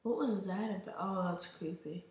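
A man's voice: high-pitched, moderate pace, a little mellow. Echoey young guy chatting over gameplay. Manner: rambling, chatty, talks to viewers. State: losing his voice. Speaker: gaming channel host. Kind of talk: casual gameplay commentary. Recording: very echoey room.

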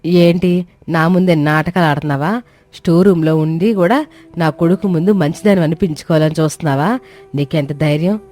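A woman playing a scheming middle-aged mother-in-law in an animated moral story, scolding, sarcastic and self-pitying. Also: low voice, a little fast, soft background music, close to an everyday voice. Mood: angry, aggrieved.

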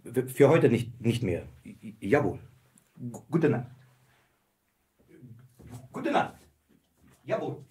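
An actor playing a flustered, dim-witted palace steward in a fairy-tale radio play, stuttering heavily and parroting others. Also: male voice, medium pitch, big pitch swings, slow pace, long pauses, darkish tone. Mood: servile, parroting. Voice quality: heavy stutter. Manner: echoes others, keeps adding "jawohl".

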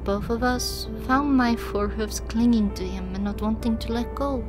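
A woman's voice: on the high side, normal speed. A woman narrating a scripted first-person story as an audiobook reader. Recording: music behind the voice.

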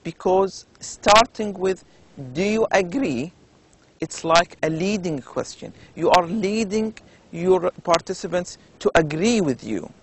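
A male university lecturer with an Arabic accent talks through slides at a very unhurried pace. His voice sits a little high and has a gravelly, creaky texture. He pauses often and fills gaps with hesitations as he explains. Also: animated. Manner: slow, deliberate explaining with many pauses and fillers. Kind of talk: recorded lecture over slides.

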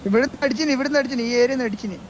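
A man with a northern Kerala Malayalam accent talks extremely fast as a gaming teammate. His callouts are clipped and repeated, over faint noise. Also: very high voice. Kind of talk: casual gaming voice chat.

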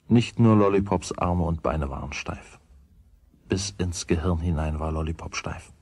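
A man's voice: low-pitched, unhurried, a little mellow, breathy edge, no room sound, heavily acted. A man narrating a children's radio play as its scripted storyteller. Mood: measured.

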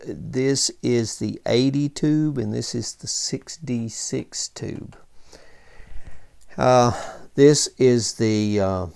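Slow-talking man with a creaky, clear voice. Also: medium pitch, a little mellow. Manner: halting, thinking aloud. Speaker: presenter of vintage radio repair tutorial. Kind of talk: casual unscripted hands-on technical walkthrough. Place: indoors at a workbench.

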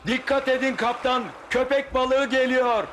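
An excitable young cartoon deckhand, loyal and full of worry. The voice is extremely high, on a clearly noisy soundtrack. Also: male voice, unhurried. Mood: anxious. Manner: worried, excitable. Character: anxious loyal young deckhand, captain's helper. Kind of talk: dubbed cartoon acting.

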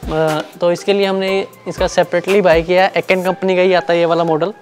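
A man's voice: high voice, level tone, soft background music. A man casually explaining a product as he unboxes and demonstrates it for viewers. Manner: quick, plain explaining to viewers. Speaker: product reviewer. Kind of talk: casual unboxing and demo narration.

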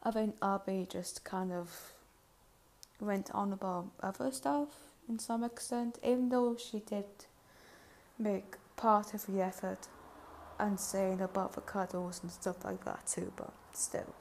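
A woman's voice: medium pitch, slow, a little mellow, slight background noise. A woman giving casual, hesitant reaction commentary as a host, rambling and mildly critical. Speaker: video reviewer and host.

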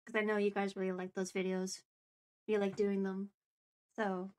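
A woman's voice: mid-range voice, little pitch movement, normal speed, darkish tone. A woman co-hosting a livestream, chatting casually and picking her words carefully and hesitantly. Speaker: streamer and co-host answering viewer questions.

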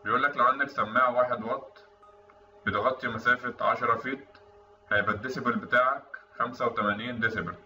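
A man's voice: medium pitch, fairly even intonation, fast talker, bright, forceful tone, soft background music. Egyptian man teaching in a very echoey room. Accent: Egyptian Arabic. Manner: explanatory, conversational. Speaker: instructor. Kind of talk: informal technical tutorial over on-screen documents. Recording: roomy, distant mic with faint noise, dull sound.

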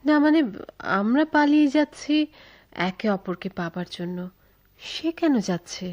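Radio drama heroine: young, tender, fearful eloping lover, Bangladeshi accent, theatrical, slightly dull lo-fi audio. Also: female voice, high-pitched, lively intonation, unhurried, a little mellow. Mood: anxious.

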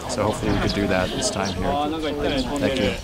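A man's voice: lowish voice, fairly even intonation, quick pace, over a noisy crowd. An American man giving a volunteer's interview testimonial. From United States. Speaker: student volunteer.

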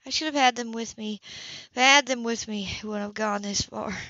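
A woman's voice: a little high, brisk, bright, forceful tone, no echo, pitched above the performer's own voice. A woman voicing a fragile woman in migraine pain, speaking in a strained, pleading, raised voice. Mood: defensive. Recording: dull lo-fi recording.

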